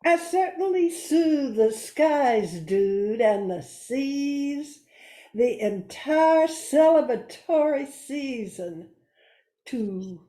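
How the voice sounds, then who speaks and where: Woman playing a slow, high-voiced, chilled-out reindeer. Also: expressive, dark tone, heavily acted. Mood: mellow, relaxed. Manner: drawling, slangy, easygoing. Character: cheerful laid-back reindeer, dreamy and chilled out. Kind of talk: amateur radio play acting. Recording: roomy echo.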